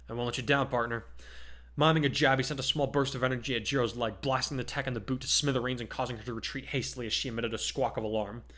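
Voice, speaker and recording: A man's voice: medium pitch, fairly even intonation, very fast, hardly any pauses, bright, forceful tone. A man narrating fan fiction in a dramatic, scripted storytelling style.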